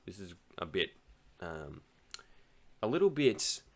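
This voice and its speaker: A man's voice: sits low, very animated, slow, gravelly, a little mellow. An Australian man talking casually while playing online chess, rambling and thinking aloud, often laughing. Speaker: chess streamer.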